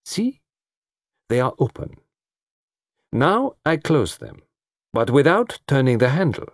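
A man's voice: mid-range voice, big pitch swings, slow pace, dry recording, studio quality, pitched above the performer's own voice, heavily acted. A man doing a fussy French-accented detective. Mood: commanding. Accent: put-on French. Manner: precise, formal, probing questions. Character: fussy, shrewd male foreign detective, middle-aged. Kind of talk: audiobook character voice.

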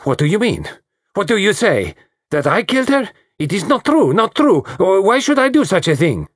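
A man voicing a hot-tempered South American woman, shrill and furious. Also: lively intonation, moderate pace, fairly bright tone, slightly rough.

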